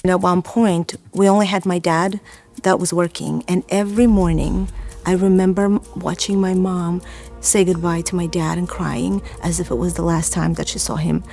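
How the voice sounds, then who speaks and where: A woman from Azerbaijan and Russia telling her personal story in an earnest, reflective, deliberate way. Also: on the low side, flat delivery, creaky, dark tone, soft background music. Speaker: church member giving testimony.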